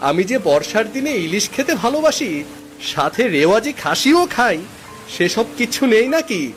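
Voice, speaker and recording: A high, swooping voice for a spoiled young husband acted by a man in a cartoon, fussy and entitled. He grumbles and makes demands over a music bed. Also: big pitch swings, moderate pace. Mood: demanding. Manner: complaining, entitled. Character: demanding, peevish young son-in-law, fussy about food. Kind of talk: Bengali cartoon dialogue. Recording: background music under the voice.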